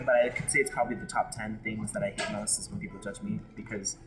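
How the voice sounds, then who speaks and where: A man's voice: fairly low pitch, steady tone, quick pace. A man from Hawaii telling his personal story in an interview, frank and emotional, often restarting his sentences. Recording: loud background music.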